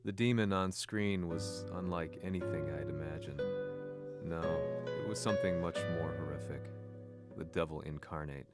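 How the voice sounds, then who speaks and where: A man with a very deep voice tells the story in a sober, reflective way. Loud game music with plucked strings plays beneath his narration. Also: normal speed.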